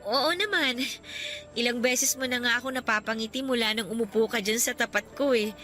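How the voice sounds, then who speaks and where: Woman acting shy young female coworker in a radio drama, bright high voice, hesitant but teasing, faint music. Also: brisk. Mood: amused, shy. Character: shy, insecure young female co-worker, easily amused. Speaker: drama voice actor.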